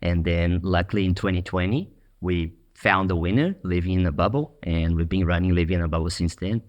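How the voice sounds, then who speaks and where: A man's voice: low voice, brisk. A man from Brazil with a Brazilian Portuguese accent, a business owner telling his story matter-of-factly as an interview guest. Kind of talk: interview conversation.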